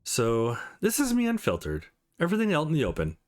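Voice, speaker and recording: A man's voice: fairly high pitch, huge pitch swings, a little fast. A man voicing an earnest, guarded young woman in a visual novel, with a hesitant, confessional manner. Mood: resolved.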